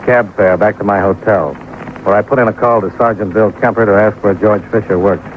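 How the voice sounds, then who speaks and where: Male radio-detective lead, acted: lowish voice, terse and businesslike, on a noisy, narrow-band 1950s broadcast recording. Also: steady tone, a little fast, natural voice. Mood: dry narration. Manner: clipped, matter-of-fact questioning. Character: businesslike male insurance investigator, terse and persistent. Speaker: lead actor and narrator. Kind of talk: 1950s radio detective drama acting, first-person narration.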